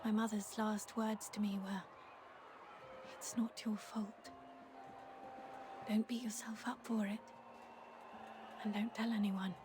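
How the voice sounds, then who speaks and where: An actress playing an earnest, resolute young legion officer in a video game, speaking in a solemn, heartfelt way. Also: female voice, medium pitch, level tone, slow, long pauses, background noise, natural voice. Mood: pained, remorseful. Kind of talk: dramatic video game voice acting.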